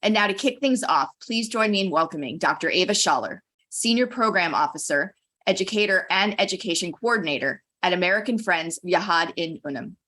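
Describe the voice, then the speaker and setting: A woman hosting an online talk, reading a measured, formal introduction from a script. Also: lowish voice, expressive, pushed and bright. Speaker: center director introducing a speaker. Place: online webinar.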